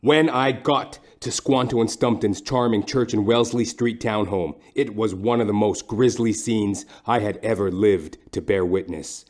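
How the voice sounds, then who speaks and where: Man acting a deadpan hardboiled detective narrator. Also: lowish voice, natural voice. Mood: wry deadpan. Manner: deadpan, florid, deliberate storytelling. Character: wry, lecherous hardboiled male private detective narrator. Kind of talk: scripted noir-parody narration.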